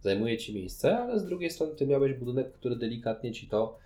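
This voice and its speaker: A man explaining things in a measured way as co-host of a casual discussion about games. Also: medium pitch, big pitch swings, mellow. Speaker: podcast co-host. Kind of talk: casual board game discussion.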